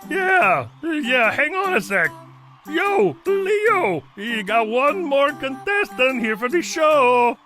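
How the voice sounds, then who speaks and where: A woman voicing a streetwise, fatherly old male mentor in an animated film, with folksy, slangy talk. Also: sits high, takes it slowly, over music, heavily acted. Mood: brisk.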